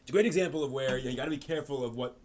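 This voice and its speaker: A man's voice: medium pitch, a little mellow. Rambling man hosting a live stream. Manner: rambling, halting, many restarts and fillers. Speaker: host of an anime live stream. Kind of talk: casual discussion answering chat.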